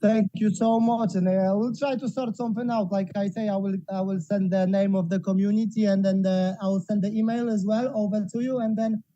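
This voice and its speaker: A man's voice: high voice, steady tone, fairly quick, few pauses, warm tone, no echo, muffled recording. A man from Poland who works at a community center, speaking hesitantly with many fillers on a video call. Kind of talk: casual remarks in an online meeting.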